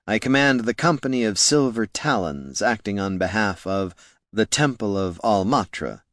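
A man acting a smug gnome mercenary commander in a fantasy story. The voice is on the low side with a swooping, expressive melody. He speaks formally and politely with clear menace, in a dry recording. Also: normal speed, no room sound. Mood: smug, menacing.

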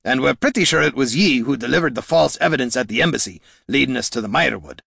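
A man voicing a gruff, shrewd, menacing dwarf adventurer with blunt rustic speech in a fantasy audiobook. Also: mid-range voice, expressive, quick pace, fairly bright tone, no room sound, clean studio sound, heavily acted. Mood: stern, shrewd.

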